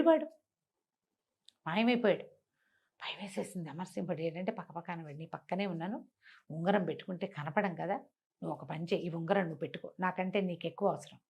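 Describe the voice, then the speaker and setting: Woman telling a story conversationally. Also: mid-range voice. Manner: animated retelling with rhetorical questions and repetition. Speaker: storyteller on a web show. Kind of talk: conversational oral storytelling of a fantasy folk tale.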